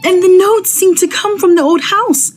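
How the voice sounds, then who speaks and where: A woman playing a high-strung, frightened widow in a vintage radio thriller, with an anxious, pleading, melodramatic delivery. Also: normal speed, soft background music. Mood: haunted, anxious.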